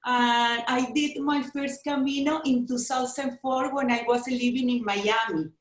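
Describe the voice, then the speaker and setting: A woman from Venezuela with a Venezuelan Spanish accent, a native Spanish speaker telling a personal story in halting, repetitive, earnest English on a video call. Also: sits high, fairly even intonation, reverberant. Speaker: guest speaker, Camino tour company founder.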